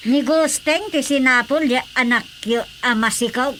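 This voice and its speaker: An actress in an Ilocano radio drama, speaking in a bright, exclaiming way. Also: female voice, high voice, moderate pace, slight background noise.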